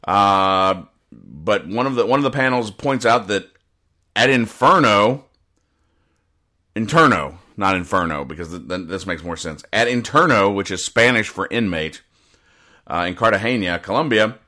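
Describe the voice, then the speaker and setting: A man hosting a casual solo podcast, explaining things in a rambling, halting, deadpan way. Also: lowish voice. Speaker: podcast host. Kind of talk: casual solo commentary, explaining comic strips.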